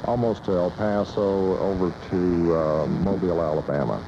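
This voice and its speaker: An American man with a deep voice explains things as a radio guest, measured and matter-of-fact. The sound is a muffled, noisy old AM cassette. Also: fairly even intonation, gravelly. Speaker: guest, air traffic controller. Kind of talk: explanatory interview talk. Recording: muffled 1980s AM radio cassette, loud noise.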